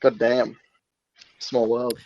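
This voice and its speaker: A young man with an Australian accent, chatting in a halting, informal way on a group podcast. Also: mid-range voice, flat delivery, over a noisy crowd. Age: young adult. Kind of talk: casual group podcast chat. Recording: slightly dull, lo-fi audio.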